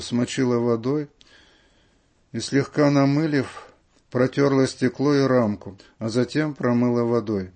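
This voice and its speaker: A man's voice: mid-range voice, darkish tone. A man reading listeners' letters aloud as scripted radio narration.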